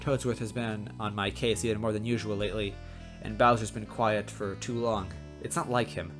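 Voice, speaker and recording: Casting: worn-out, authoritative policewoman in charge read by a man, low-pitched, storybook narration with faint music. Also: steady tone, moderate pace, a bit bright, natural voice. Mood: weary, firm. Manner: weary and matter-of-fact. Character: tired, stern female police chief, curtly authoritative. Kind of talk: amateur story read-aloud.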